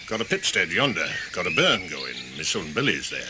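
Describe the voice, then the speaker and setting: An actor playing a blunt, kindly charcoal burner in a radio play, with terse speech. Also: male voice, deep voice, big pitch swings, fairly quick, noisy background. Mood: blunt, curious. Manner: terse, blunt, clipped dialect phrasing. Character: gruff rustic charcoal burner, plain and kindly countryman.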